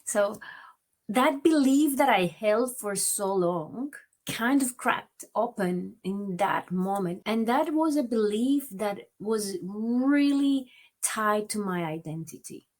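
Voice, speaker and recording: A woman's voice: mid-range voice, expressive, slow. A woman from Venezuela with a Venezuelan Spanish accent, a native Spanish speaker, telling her personal story as an interview guest in a reflective way. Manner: reflective, many "you know" fillers.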